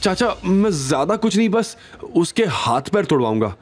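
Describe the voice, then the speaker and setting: A man's voice: sits high, very animated, moderate pace, soft background music, voice pushed up. A man voicing a spoiled, vengeful young nephew with a sly, hesitant way of talking in an audio drama. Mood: pleading.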